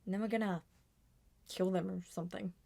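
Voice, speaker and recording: A woman's voice: medium pitch, steady tone, fairly quick, creaky, warm tone. A woman voicing an edgy, suspicious young female robot detective in an amateur roleplay drama, with a blunt, sardonic manner. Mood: impatient, bossy.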